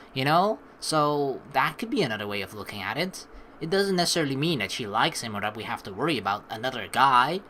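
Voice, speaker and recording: A young woman doing a let's play, switching between rambling casual commentary and expressive read-aloud narration of a game. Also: sits low, a little hiss or hum. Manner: rambling, colloquial, playfully expressive. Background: faint game music.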